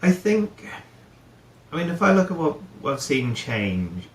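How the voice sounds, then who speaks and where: A man's voice: on the high side, very animated, measured pace, roomy sound, a little hiss or hum. A middle-aged man from New Zealand, a guest talking casually about his career, with many fillers and restarts. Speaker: guest, head of procurement. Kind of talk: casual interview chat.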